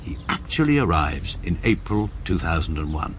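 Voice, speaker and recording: An English actor playing a stiff, pedantic middle-aged male barrister who narrates in a dry, clipped, deadpan manner for a radio comedy drama. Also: sits low, normal speed, slight background noise.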